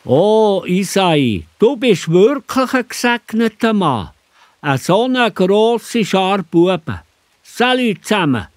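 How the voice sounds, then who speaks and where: Slow, expressive old Swiss prophet played by a man. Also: high-pitched, no room sound, studio quality, full character voice. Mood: admiring. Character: old biblical prophet, pious, solemn, astonished. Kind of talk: children's Bible audio drama acting.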